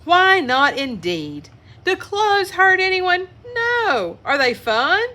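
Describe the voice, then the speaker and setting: A woman's voice: extremely high pitch, very animated, slow pace, bright, forceful tone, pitched above the performer's own voice, heavily acted. A woman voicing a wise old grandfather mole rat in a storybook, stately and proclaiming. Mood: grand, reflective.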